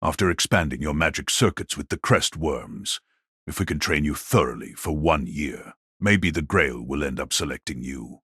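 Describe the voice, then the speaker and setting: Casting: ancient villainous mage, extremely low raspy voice, gloating and sinister, dramatised audiobook. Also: male voice, animated, a bit bright, no echo, clean studio sound, exaggerated voice. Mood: mocking, cold. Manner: slow, sinister, condescending. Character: wicked old male magus patriarch, cold, mocking, gloating.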